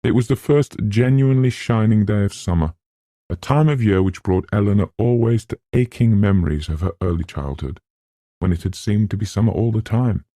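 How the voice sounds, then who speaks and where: A man with a low, creaky voice narrates a literary novel in a measured storytelling style. His tone is dark and mellow, recorded close and dry. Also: dry recording. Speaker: audiobook narrator.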